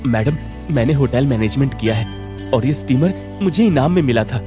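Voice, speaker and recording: A man's voice: mid-range voice, expressive, normal speed, music in the background, lightly acted. A man playing an earnest, humble young village momo seller in a cartoon story, speaking politely.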